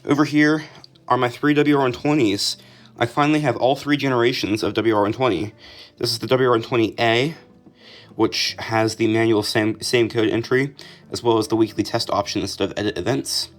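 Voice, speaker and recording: A man's voice: mid-range voice, fairly even intonation, brisk. A young American man giving a casual, informal, matter-of-fact walk-through of a personal collection. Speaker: collector presenting own collection. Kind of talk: casual collection showcase narration.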